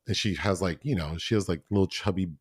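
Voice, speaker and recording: Low-voiced man chatting about films. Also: fast, breathy edge, no room sound, studio quality. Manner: chatty, many fillers and restarts. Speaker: podcast co-host. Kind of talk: casual film review chat.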